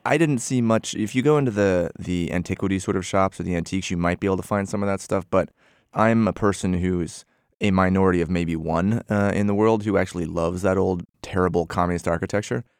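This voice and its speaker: A man guesting on a radio travel show, relaxed and agreeable. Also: low voice, fast talker, smooth, dry recording, studio quality. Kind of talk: radio interview.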